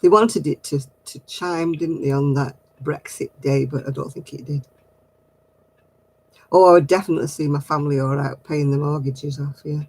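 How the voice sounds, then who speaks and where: A man's voice: fairly high pitch, fairly even intonation, normal speed, darkish tone, dry recording, low-quality recording. A middle-aged man, hosting a casual craft livestream and chatting with viewers in a giggly, rambling way. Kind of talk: casual chat answering viewer comments.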